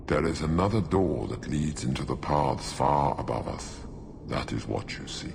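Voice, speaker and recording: An actor playing an ancient, imperious demon of stone in a video game, speaking formally and with menace. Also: male voice, a bass voice, animated, moderate pace, vocal fry, noisy background, full character voice. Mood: bargaining. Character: ancient imperious hungry demon rock creature.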